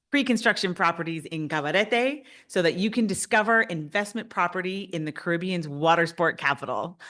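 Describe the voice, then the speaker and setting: A woman's voice: lowish voice, fairly bright tone. A woman in her fifties from Canada, presenting a webinar as a realtor in an upbeat, enthusiastic way.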